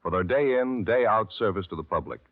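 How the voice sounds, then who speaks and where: A male radio announcer with a grave, measured, emphatic formal delivery from a 1950s broadcast. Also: on the low side, lively intonation. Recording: muffled old radio broadcast with music behind.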